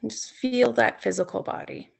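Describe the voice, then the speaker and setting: A woman leading a guided sleep meditation as a yoga nidra instructor, speaking soothingly in gently coaxing, unhurried phrases with long pauses. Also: fairly high pitch, fairly even intonation, creaky, husky.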